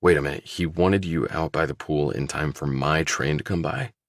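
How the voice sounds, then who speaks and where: A man's voice: a bass voice, moderate pace, no room sound, clean studio sound. A man voicing a guarded, probing ex-soldier turned investigator, terse and questioning, in an audiobook. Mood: suspicious, insistent. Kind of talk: audiobook character dialogue.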